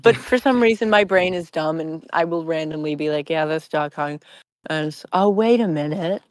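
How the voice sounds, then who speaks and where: A woman guest on a casual group podcast, speaking in a halting, self-deprecating way. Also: sits low, darkish tone, no room sound, studio quality.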